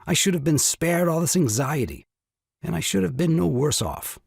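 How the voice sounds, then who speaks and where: A man's voice: on the high side, lively intonation, normal speed, creaky, slightly rough, dry recording, clean studio sound, full character voice. An actor playing a self-pitying, exasperated new father in an audio farce, with grandiloquent, melodramatic delivery. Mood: exasperated ranting. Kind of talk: theatrical audio drama farce acting.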